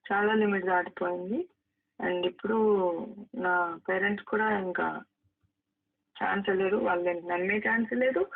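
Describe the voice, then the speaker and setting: A woman arguing in a private phone call, emphatic and repetitive, reproaching the person she is talking to. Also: medium pitch. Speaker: actress. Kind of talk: heated private phone conversation. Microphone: phone line. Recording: narrow, tinny recorded phone call.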